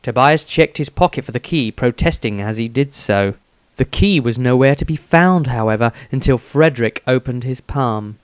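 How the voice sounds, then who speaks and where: A man's voice: mid-range voice, normal speed, clear, resonant tone, no room sound. A man reading a scripted fantasy story aloud as its narrator.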